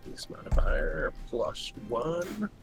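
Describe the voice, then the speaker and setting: A man's voice: on the high side, huge pitch swings, slow pace, music in the background. A man chatting casually as a player in a tabletop roleplaying game.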